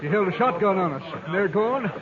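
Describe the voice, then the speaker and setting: An actor playing a rattled, plain-spoken stagecoach driver in a radio western, folksy and defensive, with a rural American accent. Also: male voice, high-pitched, animated, brisk. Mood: rattled, explaining. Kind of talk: 1950s radio western acting. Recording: tinny old radio recording, crowd behind.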